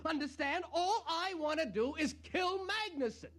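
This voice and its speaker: Edgy male would-be killer, 1950s radio drama, emphatic, very animated intonation. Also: very high-pitched, measured pace, exaggerated voice. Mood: furious. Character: irritable, obsessive male would-be killer in therapy.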